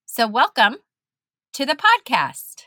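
A woman hosting a faith-based podcast interview, speaking effusively and warmly praising her guest. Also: fairly high pitch, wildly swooping pitch, no room sound, studio quality. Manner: effusive, praising, drawn-out phrasing. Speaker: podcast host.

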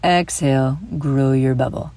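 A woman hosting a guided visualization for children, speaking in a soothing, deliberate way with gentle emphasis. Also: a bass voice, no echo. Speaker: host and guide of a children's mindfulness audio show. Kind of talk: guided visualization, scripted narration for kids.

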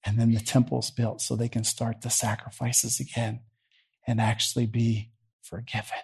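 A middle-aged man with a Southern US accent, preaching a conversational sermon from a church platform. He questions the congregation and moves between loud and quiet. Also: mid-range voice, completely flat delivery, moderate pace, creaky, husky.

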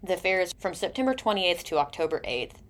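A woman host runs through event listings and a weekly beer pick, reading them in a brisk, informational way. Her voice sits deep for a woman. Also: lively intonation. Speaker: radio show host. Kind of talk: reading community announcements.

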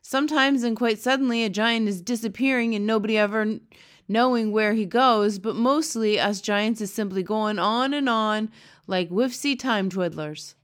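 A woman's voice: on the high side, moderate pace, lightly acted. A woman voicing a very old, kindly male giant who talks with mangled grammar and made-up words. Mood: emphatic.

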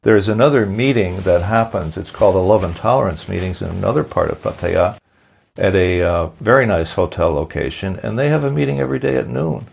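A man hosting a podcast, reading a sponsor message and then interviewing a guest in an unhurried way with some hesitant fillers. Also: low-pitched, animated, vocal fry, darkish tone, breathy edge. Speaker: podcast host.

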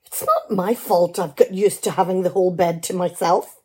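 A woman's voice: lowish voice, steady tone, moderate pace, breathy edge. A woman playing an unapologetic, teasing wife at breakfast in a radio play.

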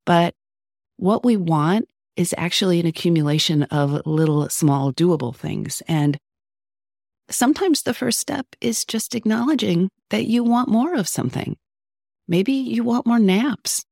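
A woman hosting an advice podcast, talking with a sore throat, a little scratchy, earnest and coaching, slowing down on key points. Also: medium pitch, animated, vocal fry, darkish tone, dry recording, clean studio sound. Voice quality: slightly scratchy. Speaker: podcast host, psychologist.

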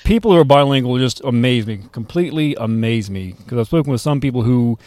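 A man's voice: mid-range voice, quick pace, darkish tone, no room sound. A male podcast host with an everyday mid-range voice, recorded dry and close. He reacts eagerly with frequent exclamations and often stumbles and restarts his questions mid-sentence. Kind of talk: casual interview.

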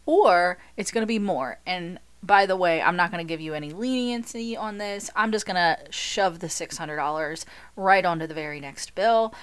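A woman's voice: fairly low pitch, moderate pace, hardly any pauses, clear, resonant tone. A woman in her thirties hosting a podcast as a money coach, talking chattily with jokey asides, a little nasal from a cold. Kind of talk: solo podcast talk.

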